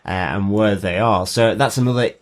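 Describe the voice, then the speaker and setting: A man co-hosting a podcast, talking through a TV recap in a casual, halting, measured way. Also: fairly low pitch. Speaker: podcast co-host.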